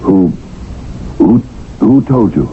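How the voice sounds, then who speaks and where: Deep, stammering salesman. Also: expressive, slow. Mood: uneasy, evasive. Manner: stammering, blustering. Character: flustered, slick salesman husband, weak-willed and defensive. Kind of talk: 1970s American radio drama acting. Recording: tinny, band-limited old radio broadcast, faint noise.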